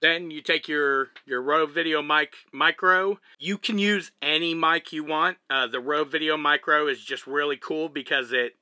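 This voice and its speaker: Bright-voiced Texan trucker demoing gear. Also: male voice, a little high, normal speed, hardly any pauses, no echo. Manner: relaxed, chatty, explains step by step while handling gear. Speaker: vlogger. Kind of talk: casual gear demonstration. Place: small living cabin of a truck, indoors.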